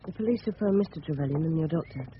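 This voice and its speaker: An actor playing a polite, level-headed English schoolboy in a radio play, earnest and well-spoken. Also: male voice, on the high side, rapid-fire, close to an everyday voice. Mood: calm, caring. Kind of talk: 1970s radio play acting. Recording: muffled, hissy old radio broadcast.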